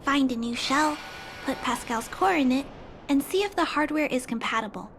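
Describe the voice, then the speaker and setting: A woman's voice: sits high, animated, moderate pace, slight background noise. Clara, an earnest young girl. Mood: earnest gentle. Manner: polite and gentle, earnest. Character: kind, earnest young girl who fixes robots. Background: game music.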